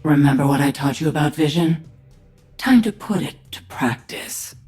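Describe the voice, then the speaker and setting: A woman playing a tough, commanding, sardonic warrior queen of an alien swarm in a video game, with a husky voice. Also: deep voice, animated, normal speed, darkish tone, faint music behind. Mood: stern, commanding. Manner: commanding, dry sarcasm. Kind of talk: video game character acting.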